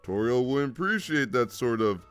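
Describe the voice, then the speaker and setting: A man's voice: mid-range voice, big pitch swings, moderate pace, a bit bright, clear, resonant tone, faint music behind. A man voicing a wry, drowsy, laid-back skeleton brother in a cartoon comic dub, with a casual, joking drawl. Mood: teasing.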